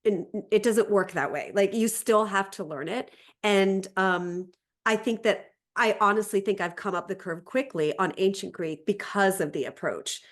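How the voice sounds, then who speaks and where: A woman's voice: mid-range voice, normal speed. Woman teacher explaining in conversation. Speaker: Ancient Greek teacher, interview guest.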